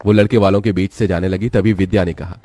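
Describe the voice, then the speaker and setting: A man's voice: low voice, fairly even intonation, fast talker, mellow, dry recording. A man narrating a scripted story as its storyteller.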